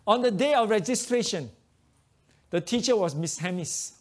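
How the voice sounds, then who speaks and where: Malaysian guy telling his testimony at church, choppy english, fairly high voice. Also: moderate pace. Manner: broken English, animated storytelling. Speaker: preacher giving testimony. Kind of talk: testimony sermon.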